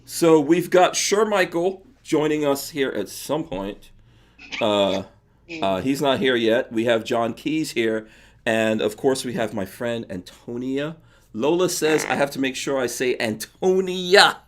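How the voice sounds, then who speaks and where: A man's voice: medium pitch, animated, a little slow. Man, New York accent, podcast host, casual livestream chat, laughs a lot. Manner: laughs often, loose and joking.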